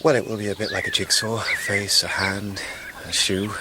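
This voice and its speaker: A man's voice: fairly low pitch, little pitch movement, normal speed, heavy background noise, close to an everyday voice. A man plays a war veteran turned restorer in a radio drama. He is wry and polite, with a weary, reflective way of speaking. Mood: tired, polite.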